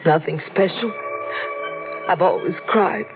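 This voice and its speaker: An actress playing a sad, tender young brunette who is forever crying, in a 1940s radio drama. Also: female voice, lowish voice, slow. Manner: melancholy, tearful, earnest. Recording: muffled vintage radio, faint music.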